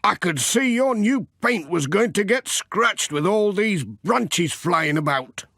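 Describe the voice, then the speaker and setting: A friendly village shopkeeper, put on by a man, high in pitch and obliging. He explains things helpfully and with lilt, as in a children's storybook. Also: animated, slow pace, gravelly, a bit bright, slightly rough, no echo, clean studio sound, heavily acted.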